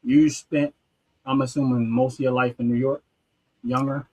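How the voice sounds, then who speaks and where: A man's voice: mid-range voice, level tone, normal speed, a little mellow, slightly rough, rough recording. Earnest man hosting a talk show. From North Philadelphia, USA. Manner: earnest, repetitive restarts, street-talk phrasing. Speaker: podcast host. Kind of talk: casual talk show discussion.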